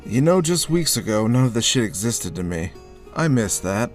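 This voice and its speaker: A man playing a haunted, sardonic drifter in a dark horror audio drama, moving between first-person narration and dialogue. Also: mid-range voice, big pitch swings, moderate pace, close to an everyday voice. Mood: uneasy, sardonic. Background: background music.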